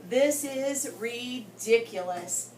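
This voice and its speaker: Female storyteller for a children's read-aloud, higher-pitched and expressive, picked up from across a roomy space. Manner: expressive, with dramatic pauses and emphasis. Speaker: guest story reader for children. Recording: roomy, distant mic with background noise.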